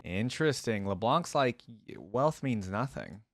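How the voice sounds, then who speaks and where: A man's voice: on the low side, sing-song, a little slow, faint music behind. A man giving casual, chatty commentary as he reacts to game voice lines, close to the microphone. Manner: informal, chatty.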